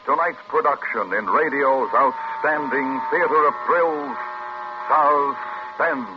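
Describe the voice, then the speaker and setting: Slow, theatrical American male radio announcer. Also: mid-range voice, heavy background noise. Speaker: program announcer. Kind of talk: dramatic 1950s radio show introduction. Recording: muffled old radio broadcast. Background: music.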